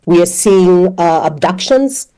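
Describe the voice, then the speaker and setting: A woman's voice: on the low side, expressive, measured pace, dark tone, smooth, poor audio. A woman from Kenya speaking as an activist guest in a news interview, earnest and hesitant with many fillers.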